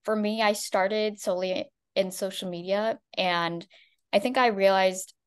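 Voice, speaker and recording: A woman from the United States co-presenting a webinar over a video call, earnest and a little halting. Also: medium pitch, fairly even intonation, clean tone. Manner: earnest, with some restarts. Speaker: social media marketer, co-presenter.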